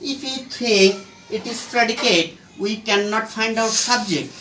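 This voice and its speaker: A teacher giving an English grammar lesson in a halting, deliberate way, in short fragments. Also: medium pitch, sharp tone. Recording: echoey room, distant mic, faint noise.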